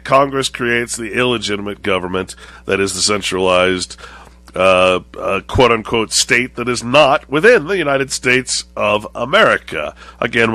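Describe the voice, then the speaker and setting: A man with a cold-thickened voice, hosting a show and lecturing deliberately to his viewers, often rephrasing as he goes. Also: mid-range voice, pushed and bright, no echo. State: voice lowered and congested, recovering from chest cold. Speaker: show host. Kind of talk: monologue to viewers, political and religious commentary.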